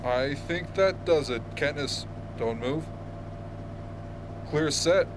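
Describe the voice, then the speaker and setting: A man's voice: fairly high pitch, slow, background noise. A man playing a businesslike propaganda director giving orders in an amateur radio drama. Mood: commanding.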